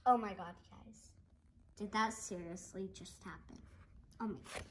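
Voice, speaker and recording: A young girl hosting a read-along, reading a picture book aloud and reacting chattily in a giggly, excitable way. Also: mid-range voice, measured pace, background noise. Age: child. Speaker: host of a read-along video. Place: indoors at a dark wooden table. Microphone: camera pointed down at the book.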